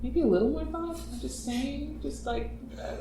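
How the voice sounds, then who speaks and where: A woman's voice: high voice, normal speed, creaky, a crowd in the background. A woman, a voice actor, chatting casually in a wry, joking way. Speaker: voice actor on the podcast. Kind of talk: casual chat. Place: apartment room. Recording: roomy and noisy.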